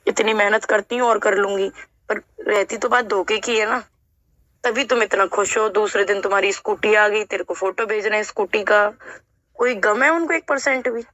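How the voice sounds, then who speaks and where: A woman's voice: mid-range voice, moderate pace, a bit bright, no room sound. A woman speaking emotionally and insistently in a heated personal phone conversation, sounding aggrieved. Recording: dull, lo-fi recorded phone call.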